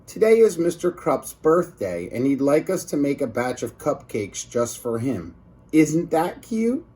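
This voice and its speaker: Man playing a slow-talking, cheerful lunch lady. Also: on the high side, sing-song, a little mellow, breathy edge, heavily acted. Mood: cheerful, delighted. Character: cheerful, eager head lunch lady at a school. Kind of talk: children's storybook character reading.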